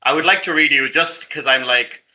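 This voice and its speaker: A man's voice: a little high, normal speed, sharp tone, rough recording. A man chatting casually as a player in a tabletop game, tentatively thinking aloud.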